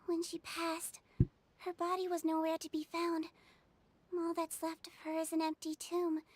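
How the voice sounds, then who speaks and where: A small gentle Melusine nurse. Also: female voice, very high voice, monotone, unhurried. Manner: soft, matter-of-fact, kindly. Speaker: prison doctor. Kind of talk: game dialogue acting.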